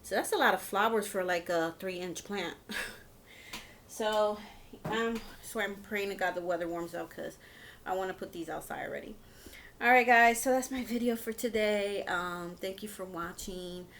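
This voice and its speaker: A woman's voice: mid-range voice, normal speed, fairly bright tone, slight background noise. A woman in her fifties chatting casually to her viewers as a video host, in a rambling, hesitant, informal way. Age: 50s. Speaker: video host of a home and shopping channel.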